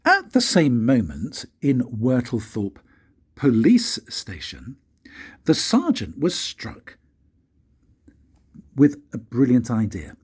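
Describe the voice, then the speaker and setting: An older man reads a children's story like a grandfather, in an expressive, deliberate way with dramatic pauses and a husky voice. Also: mid-range voice. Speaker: grandfather reading stories for his grandchildren.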